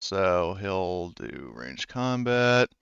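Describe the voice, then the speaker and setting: A man running a tabletop game as game master, talking haltingly with many fillers. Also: low-pitched, big pitch swings, gravelly, lo-fi sound, background noise.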